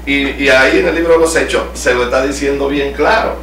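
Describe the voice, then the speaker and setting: A man's voice: medium pitch, fairly even intonation, fairly quick, vocal fry, a bit bright. A middle-aged man from El Salvador with a Salvadoran accent, a pastor leading a home Bible study and asking the group questions, recorded from across a living room. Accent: Salvadoran Spanish. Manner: teaching, asks the group questions. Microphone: far camera. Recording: echoey room from far off, faint hum.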